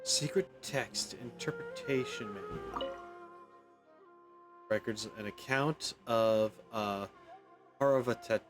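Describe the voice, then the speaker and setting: A man's voice: lowish voice, slow, pauses often, over music. A man doing casual let's play commentary, thinking aloud informally and reading game text out as he goes. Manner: informal, rambling, thinks aloud, mild swearing. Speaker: video game streamer.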